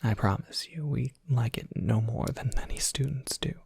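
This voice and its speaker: A performer voicing a kindly, wry professor advising a student in an ASMR roleplay, in a soft breathy whisper with an unhurried, helpful manner. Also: mid-range voice, no echo. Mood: gentle, helpful. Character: kindly, wry tenured professor at a monster academy. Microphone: close microphone, dry.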